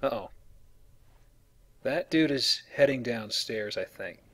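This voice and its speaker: A man's voice: medium pitch, animated, slow, a bit bright. A man giving casual, explanatory gameplay commentary as he plays a video game. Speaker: let's player playing and explaining the game.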